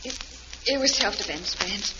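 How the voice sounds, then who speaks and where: A woman's voice: mid-range voice, animated, moderate pace, lightly acted. Forlorn young jailed glamour girl played by a woman, echoing. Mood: wistful, hesitant. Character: glamorous, fragile young woman held in jail. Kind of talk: old-time radio melodrama acting. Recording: muffled, noisy old radio, long reverb.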